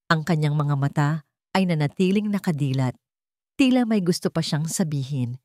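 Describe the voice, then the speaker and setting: Filipina storyteller, low voice, dry sound. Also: female voice, a little mellow, dry recording. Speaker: story narrator. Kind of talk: scripted dramatic audio story narration.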